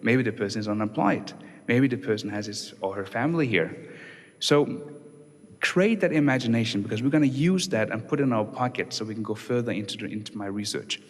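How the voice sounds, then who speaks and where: A young adult man from Denmark, a doctoral researcher giving a relaxed, conversational conference talk with many fillers and restarts. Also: on the low side, a little fast. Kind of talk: conference presentation. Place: church hall. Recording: long reverb of a church hall.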